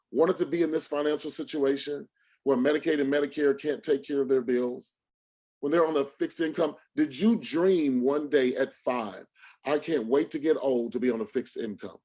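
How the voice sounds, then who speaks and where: Male motivational coach, slightly high voice, emphatic preacher-like delivery, leaning on repetition and rhetorical questions for a livestream pitch. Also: a little mellow. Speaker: motivational speaker and financial trading coach. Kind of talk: motivational sales talk on a livestream.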